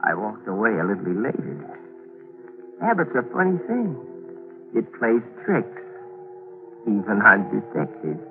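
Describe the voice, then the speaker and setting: Low-voiced scheming killer on old radio. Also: male voice, huge pitch swings, measured pace, long pauses, narrow, tinny audio, over music, heavily acted. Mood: smug, confiding. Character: cold, scheming murderer who narrates his own crime. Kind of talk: 1940s radio mystery acting. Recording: noisy, thin 1940s radio broadcast recording.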